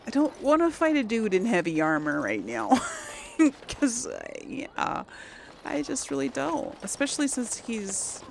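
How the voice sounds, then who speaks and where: A woman's voice: a little high, big pitch swings, a little slow, gravelly, a little hiss or hum. A woman hosting a casual game playthrough, chatting and thinking aloud as she plays. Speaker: gaming video host. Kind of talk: casual playthrough commentary with role-played dialogue.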